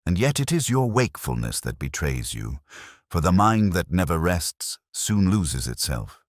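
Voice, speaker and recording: A man voicing a coolly analytical gentleman detective in an audiobook, stern, composed and precise. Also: sits low, big pitch swings, measured pace, no echo, studio quality, full character voice.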